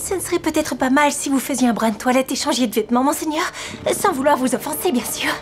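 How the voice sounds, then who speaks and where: A woman playing a fussy high-voiced chambermaid. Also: fast talker, a little hiss or hum, close to an everyday voice. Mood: deferential. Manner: deferential and chatty, fussing over her master. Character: fussy, devoted castle chambermaid. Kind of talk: film dub acting.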